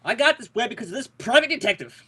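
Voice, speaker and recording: Guy doing a grumpy sarcastic radio police lieutenant, high bright voice, blustery, swings up and down. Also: lively intonation, fast talker, breathy edge, heavily acted. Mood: exasperated. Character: exasperated, grumbling male police lieutenant. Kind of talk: 1940s detective radio drama.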